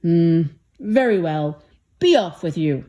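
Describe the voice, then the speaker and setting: A woman's voice: on the low side, lively intonation, slow pace, mellow. Woman playing a mean roaring bridge troll. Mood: grudging. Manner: roaring, menacing. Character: mean, ugly bridge troll, loud and threatening.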